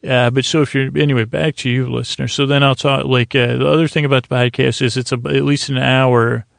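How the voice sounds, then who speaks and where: A man hosting a podcast, rambling in a halting, meandering, self-deprecating way with a gravelly voice, as a bedtime monologue. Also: mid-range voice, flat delivery, no echo, studio quality. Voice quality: gravelly, creaky. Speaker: podcast host.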